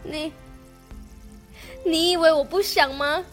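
A woman playing a lovelorn young ghost princess in an amateur radio drama, halting and plaintive, with a Taiwanese Mandarin accent. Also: very high voice, fairly even intonation. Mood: sorrowful. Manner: halting, plaintive, repeats words. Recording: background music under the voice.

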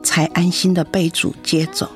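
An actress as a kind auntie in a radio drama, middle-aged, with a slightly low voice. She sounds comforting, with music faintly behind her. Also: level tone, normal speed, close to an everyday voice. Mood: tender, admiring. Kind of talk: Mandarin radio drama acting.

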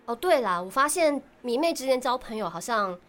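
A woman's voice: a little high, sing-song, a little fast, fairly bright tone. A woman with a Taiwanese accent chatting casually and effusively as a podcast co-host, often repeating her agreement. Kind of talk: casual chat.

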